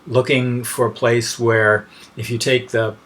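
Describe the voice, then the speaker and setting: A man's voice: mid-range voice, little pitch movement, measured pace, a bit bright. A man giving an informal technical tutorial as a lecturer, hesitating and thinking aloud mid-sentence as he explains.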